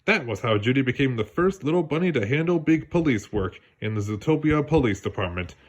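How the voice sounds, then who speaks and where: A man narrating a children's read-along story in a lively storytelling manner. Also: mid-range voice, a little fast, lo-fi sound. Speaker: storybook reader.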